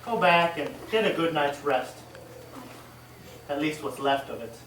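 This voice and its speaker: A man's voice: a little high, a little slow, a bit bright. Man playing police detective, radio mystery, businesslike questioning, distant mic, faint noise. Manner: methodical, official questioning. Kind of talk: old-time radio mystery acting. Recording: roomy, distant stage recording, faint noise.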